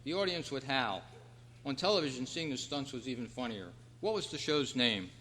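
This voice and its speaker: A man's voice: medium pitch, expressive, moderate pace, pushed and bright, slight background noise. Man hosting trivia in an echoey hall. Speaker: trivia quiz host at a club meeting. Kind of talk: reading quiz questions aloud to a live audience. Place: indoor meeting room at a convention. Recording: echoey hall, long reverb.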